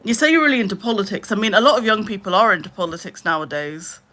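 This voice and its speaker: A woman in her late twenties chatting casually on a live stream, rambling with lots of "like" and trailing into low mutters. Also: fast talker, bright, forceful tone, slight background noise. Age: 20s. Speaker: livestreamer.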